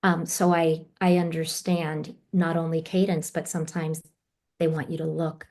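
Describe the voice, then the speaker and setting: A woman's voice: sits low, level tone, normal speed, a little mellow. A woman voice actor asking earnest, polite questions on a video call.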